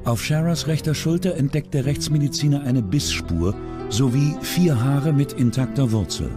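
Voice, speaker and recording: A man's voice: medium pitch, expressive, normal speed, over music. A man reading scripted true-crime documentary narration.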